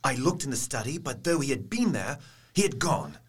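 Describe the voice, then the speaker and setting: An actor playing a grave, earnest young gentleman giving a report in a theatrical audio drama. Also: mid-range voice, very animated, moderate pace, husky. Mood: urgent, shaken.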